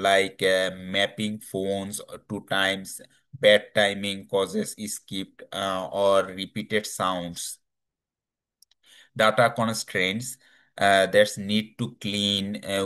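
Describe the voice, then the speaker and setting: A man with a slightly low voice presents technical slides. He speaks slowly and haltingly, searching for his words in non-native English phrasing. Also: fairly bright tone, clear, resonant tone.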